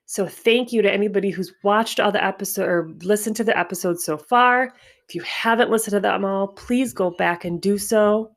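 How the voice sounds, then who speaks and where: A stuffy-nosed woman hosting a spiritual wellness podcast, talking in a reflective, unhurried way with frequent restarts. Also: mid-range voice. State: stuffy nose. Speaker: podcast host.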